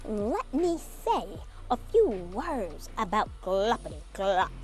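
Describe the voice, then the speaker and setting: Casting: female reader playing little male woodland guardian for a picture-book tale, indignant, plaintive, very swoopy intonation, slow pacing. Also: mid-range voice, big pitch swings, soft background music. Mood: scolding. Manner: scolding, plaintive, deliberate. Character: small indignant male forest creature, scolding and mournful. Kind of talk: children's storybook read-aloud.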